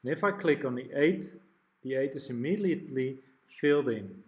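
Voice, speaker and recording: A man narrating a step-by-step software demo, explaining each screen in turn with the odd restart. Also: mid-range voice, expressive, normal speed, dull audio. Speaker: product demo presenter.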